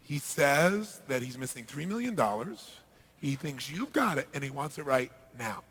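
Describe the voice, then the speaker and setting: A man voicing a calm, dutiful interpreter in a story, relaying messages in a measured, matter-of-fact way. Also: on the high side, gravelly, exaggerated voice.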